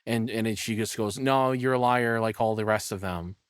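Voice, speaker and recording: A man's voice: lowish voice, fairly even intonation, moderate pace, clear, resonant tone, no room sound, studio quality. A man giving casual, rambling and opinionated reaction commentary in an informal way. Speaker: reaction streamer.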